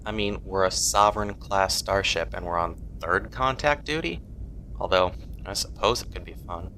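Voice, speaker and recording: A man's voice: low-pitched, moderate pace, bright, forceful tone, slight background noise, poor audio. A man playing a cocky, casual starship helm officer in a fan audio drama. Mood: flippant, bored.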